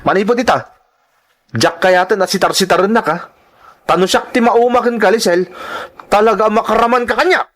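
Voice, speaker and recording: A man's voice: high-pitched, moderate pace, long pauses, fairly bright tone. A man with an Ilocano accent playing a selfish, argumentative young husband in an audio drama. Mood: irritated. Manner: argumentative, goading. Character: selfish, scornful young husband, irresponsible drinker.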